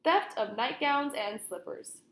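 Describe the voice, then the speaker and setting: A young woman reads a children's book aloud in a fairly high voice. The room is roomy and echoing, and her delivery is that of storytelling for kids. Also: animated, unhurried, a bit bright. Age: young adult.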